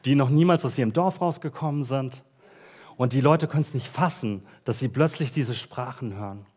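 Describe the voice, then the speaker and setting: A man delivering a sermon at a church service, talking in an easygoing, conversational way and dropping casual slang between the Bible readings. His voice sits at an ordinary middle pitch. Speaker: pastor.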